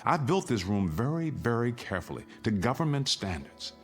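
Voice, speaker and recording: A man's voice: mid-range voice, very animated, moderate pace, faint music behind. An American man acting in a radio drama as an urgent, middle-aged father who takes charge and steadies his family. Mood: steadying, tense.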